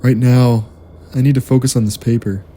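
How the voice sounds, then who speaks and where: A man plays a self-doubting young student in an audio drama. His mid-pitched voice is very dark and subdued, halting as he goes, with faint noise behind. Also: fairly even intonation, close to an everyday voice. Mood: downcast. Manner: hesitant, sighing, subdued. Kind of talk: naturalistic audio drama acting.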